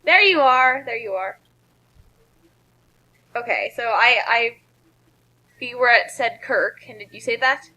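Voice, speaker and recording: A woman's voice: a little high, lively intonation, a little slow, long pauses, pushed and bright, low-quality recording. A woman doing casual, joking group commentary on a story read aloud over an online voice call.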